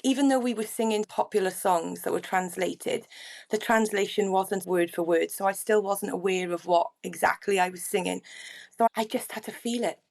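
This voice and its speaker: A middle-aged woman speaks as a podcast guest, at an ordinary pitch for her age. She tells her story earnestly and reflectively, in a relaxed, conversational way. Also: normal speed, vocal fry. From Wales. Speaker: guest singer-songwriter. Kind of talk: casual interview chat.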